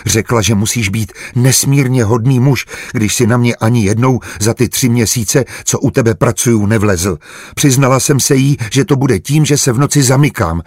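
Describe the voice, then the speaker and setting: A man reading an audiobook, voicing a naive, earnest young servant woman who talks in a hurried, flustered, pleading way. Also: mid-range voice, a little mellow, slightly rough, no room sound, clean studio sound, natural voice. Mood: hurried, confiding. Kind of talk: audiobook character voice.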